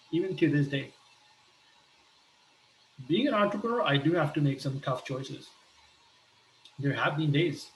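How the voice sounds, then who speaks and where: A man from Pakistan talking earnestly about his life, pausing often and restarting his sentences. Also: on the high side, echoey room, slight background noise, poor audio. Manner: hesitant, earnest, many restarts and fillers. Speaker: entrepreneur sharing a personal appeal. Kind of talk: personal talk with shared images.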